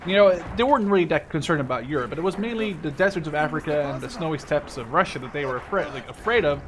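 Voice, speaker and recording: A man's voice: medium pitch, background noise. A man in his twenties from the Netherlands giving casual, rambling gameplay commentary, often correcting himself and swearing casually. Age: 20s. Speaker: gaming video host.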